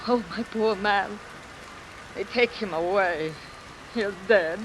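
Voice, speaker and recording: Actress as slow, pleading widow on noisy old radio. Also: female voice, mid-range voice, natural voice. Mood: grieving, pleading. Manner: pleading, halting. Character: grieving, distraught working-class widow, weary and pleading. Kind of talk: 1940s radio acting. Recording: noisy vintage radio, rain over it.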